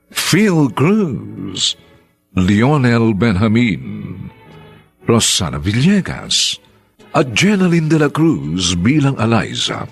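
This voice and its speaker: A man announces a radio drama in a formal, scripted style. His voice is low and husky, with extremely animated intonation and stately, dramatic phrasing. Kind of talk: formal scripted closing credits narration.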